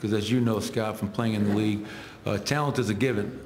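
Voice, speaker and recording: A man's voice: fairly low pitch, fairly even intonation, a little fast, echoey room, a little hiss or hum. A middle-aged man, a head coach, answering reporters at a post-game press conference, listing stats in short clipped phrases into table microphones. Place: arena press room.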